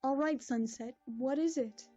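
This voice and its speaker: A woman voicing a calm, kindly princess who speaks in a measured, formal way. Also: sits high, dull audio, over music.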